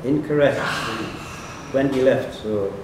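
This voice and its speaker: A man's voice: mid-range voice, slow. A man acting as quiz master, reading out questions and ruling on answers live in a pub. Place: pub quiz room, indoors. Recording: very echoey pub room, distant mic, faint noise.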